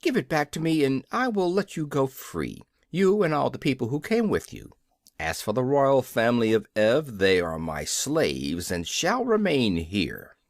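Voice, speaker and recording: A man's voice: mid-range voice, big pitch swings, unhurried, no echo, clean studio sound. A man voicing a wicked, blustering gnome king who sneers and threatens angrily, in a storybook reading. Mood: jeering, sly.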